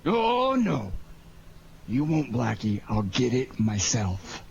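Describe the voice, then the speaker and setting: A man's voice: medium pitch, wildly swooping pitch, slow, vocal fry, full character voice. A man playing a menacing, impatient gangster with slangy, taunting tough-guy talk in a 1940s-style radio crime drama. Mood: gloating. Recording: lo-fi muffled audio with faint noise.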